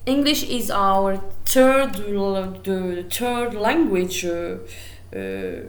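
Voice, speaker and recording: A woman's voice: medium pitch, very animated, very slow, roomy sound. A woman with an Algerian accent, a native Arabic speaker, giving a university English lecture with frequent fillers and restarts. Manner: hesitant, many fillers and restarts. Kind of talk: recorded lecture.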